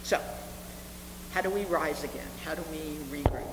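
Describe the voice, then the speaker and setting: A woman in her seventies delivering a sermon as a reverend, speaking earnestly and deliberately with repeated phrases for emphasis. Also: deep voice. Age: 70s. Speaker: reverend preaching to a congregation. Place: reverberant meeting hall with a congregation. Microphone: live speaking microphone. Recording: echoey hall, noisy room with hum.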